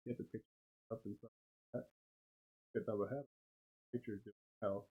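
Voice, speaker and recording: A man's voice: on the low side, steady tone, a little fast, husky. A man acting as guest co-commentator at a game, chatting casually and informally in loose, short phrases on a muffled recording. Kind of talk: casual chat during a game. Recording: dull, muffled live commentary audio.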